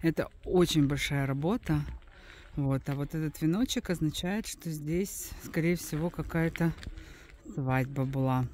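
A woman's voice: low voice, a little slow, a little mellow, a little hiss or hum. A woman giving casual, unscripted travel commentary as a guide, explaining things hesitantly with trailing asides.

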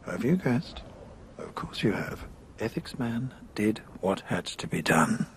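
A man's voice: on the low side, sing-song, measured pace, a little hiss or hum. An actor playing a fussy, pompous male philosophy teacher with a theatrical lecturing manner in a radio drama.

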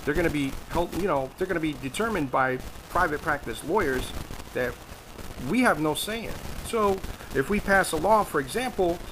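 A man's voice: a little high, animated, moderate pace, noisy background. An American man speaking insistently as an activist caller making a political phone complaint, with some hesitant phrasing.

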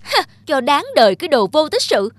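A woman's voice: sits high, sing-song, brisk, no room sound. A woman voicing a resentful, weary mother buffalo with a grumbling manner in a cartoon fable. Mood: scornful. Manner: grumbling, sarcastic. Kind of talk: dubbed cartoon fable acting.

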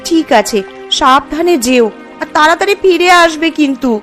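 A worried, caring village wife from a cartoon, played by a woman, speaks in a high voice. She sounds earnest and fretful over a bed of music. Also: normal speed, a bit bright. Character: anxious, caring village housewife. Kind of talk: cartoon dialogue acting.

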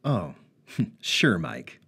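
A man voicing a dogged former police officer turned investigator, probing and dry, close to his own husky voice. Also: sits low, wildly swooping pitch, extremely slow, fairly bright tone, heavily acted.

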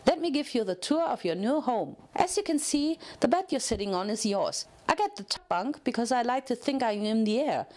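A woman voicing a manic, bubbly young pink pony mare in a storybook reading, chirpy, excitable and chattering. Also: on the high side, brisk, few pauses, natural voice. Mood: cheerful.

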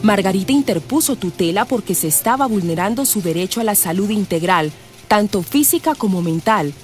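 A woman's voice: mid-range voice, lively intonation, brisk, a little mellow. A woman narrating a scripted radio drama. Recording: music behind the narration.

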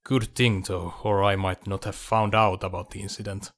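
A man voicing a confident military adviser in an audiobook, reasoning in a measured, dry, persuasive way. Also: lowish voice, flat delivery, close to an everyday voice. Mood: dry, matter-of-fact. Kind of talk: audiobook character dialogue.

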